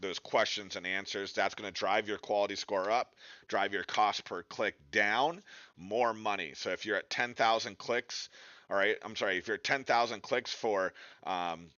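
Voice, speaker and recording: Low-pitched man, forceful bright edge, conversational webinar instructor, direct, dull dry recording. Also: fairly even intonation, moderate pace, no room sound. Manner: conversational, direct, peppers points with tag questions. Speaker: webinar presenter, digital marketing instructor. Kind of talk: informal instructional webinar presentation.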